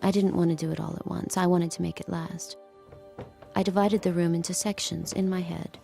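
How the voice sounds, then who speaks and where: A low, creaky, subdued handmaid narrator. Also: female voice, little pitch movement, fairly quick, mellow. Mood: hushed, introspective. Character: subdued, watchful young woman kept as a handmaid. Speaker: lead actor and first-person narrator. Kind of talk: audio drama, intimate literary narration and dialogue. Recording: music under the voice.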